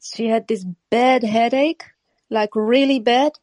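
A woman's voice: a little high, steady tone, slow, a little mellow, dry recording. A woman from Morocco, raised in the Netherlands, talking earnestly in a casual chat and often repeating and restarting her phrases.

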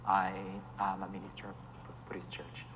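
A man, a Buddhist minister speaking softly and hesitantly. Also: low-pitched, monotone, moderate pace, big-room sound, a little hiss or hum. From Japan. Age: 40s. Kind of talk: panel discussion.

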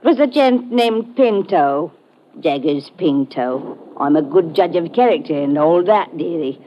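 A woman's voice: lowish voice, expressive, a little slow, slight background noise. Chatty old Cockney landlady. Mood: gossipy. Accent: London Cockney. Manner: folksy, rambling, calls people dearie. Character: gin-tippling, chatty, motherly older London landlady. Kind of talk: old-time radio drama acting. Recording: dull vintage radio recording.

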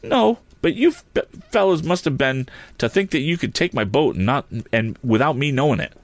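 A man's voice: sits low, huge pitch swings, moderate pace, no echo, exaggerated voice. A man voicing an angry young inventor hero in a storybook, indignant and demanding. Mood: furious, demanding.

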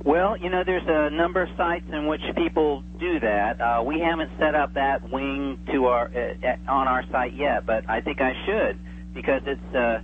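A man in his forties chatting as an interview guest, enthusiastic and reminiscing, often halting and repeating words, heard over a phone. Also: mid-range voice, steady tone. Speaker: interview guest, radio drama producer. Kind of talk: casual chat, reminiscing. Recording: tinny phone line with hum and faint noise.

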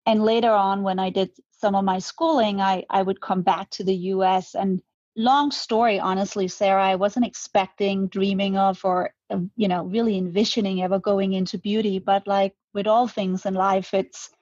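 A woman's voice: mid-range voice, fairly even intonation, moderate pace, darkish tone, no echo, highs cut off. A woman, talking reflectively as an interview guest, often restarting her words. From Denmark. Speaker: guest, brand co-founder. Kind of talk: conversational interview.

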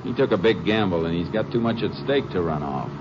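Low-voiced, terse Texas Ranger. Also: male voice, animated, fairly quick. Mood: determined. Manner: clipped, matter-of-fact. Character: terse, determined male Texas Ranger investigator. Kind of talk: 1950s radio drama acting with first-person narration. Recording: noisy, muffled 1950s radio recording.

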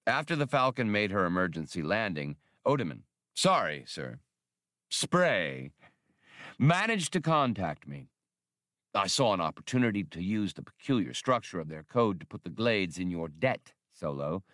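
A man's voice: sits low, sing-song, moderate pace, a bit bright, no room sound, studio quality. A man voicing a suave, mustached veteran gunman in an audiobook, speaking in a polished, coolly amused manner. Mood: smooth, explaining.

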